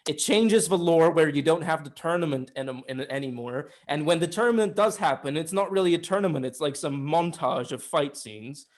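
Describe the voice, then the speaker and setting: A man with a voice a little higher than most co-hosts a film review show. He talks informally and argues his opinions bluntly, with some swearing. The delivery is that of a casual discussion. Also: expressive, normal speed, few pauses. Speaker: film review podcast co-host.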